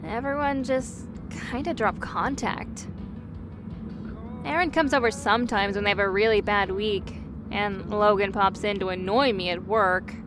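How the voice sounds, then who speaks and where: A woman's voice: on the high side, natural voice. A woman playing a caring, teasing young best friend in an audio roleplay, chatty and a little hesitant as she talks. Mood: caring, concerned. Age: young adult. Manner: chatty, hesitant, self-correcting, playfully teasing. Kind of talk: interactive audio roleplay acting.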